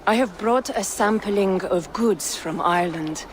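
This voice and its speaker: A woman's voice: mid-range voice, measured pace, slight background noise, close to an everyday voice. An actress playing a shrewd, courteous trader woman from the east in a video game, with formal, wry, playful phrasing. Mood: courteous, businesslike. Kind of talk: video game cutscene acting. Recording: music under the voice.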